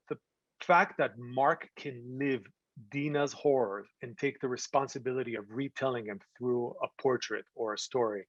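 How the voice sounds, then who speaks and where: A man's voice: mid-range voice, no echo. Man, filmmaker giving a talk, earnest, gropes for words, dry, slightly muffled recording. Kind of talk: presentation to an audience. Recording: slightly dull, dry sound.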